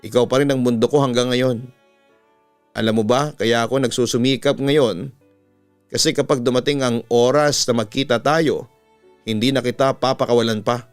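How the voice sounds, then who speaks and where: A man's voice: medium pitch, close to an everyday voice. A man with a Filipino accent voicing an earnest young lover pleading with his lost love in a dramatized story. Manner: pleading, emphatic. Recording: music bed under the voice.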